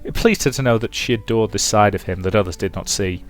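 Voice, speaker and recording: A man's voice: fairly low pitch, fast talker. A man reading a visual novel aloud as a let's player, mixing dramatic narration with casual commentary. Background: game music.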